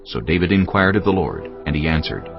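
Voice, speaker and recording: A man narrating scripture in a solemn, measured storytelling style, with a gravelly voice. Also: deep voice, lively intonation. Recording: dull, lo-fi audio. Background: faint music.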